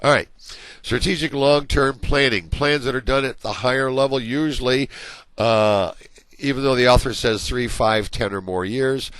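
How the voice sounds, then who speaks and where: A man's voice: medium pitch, normal speed, a bit bright, clean tone, dry recording. A man giving a recorded college management lecture over slides, conversational and full of everyday examples, while he is losing his voice.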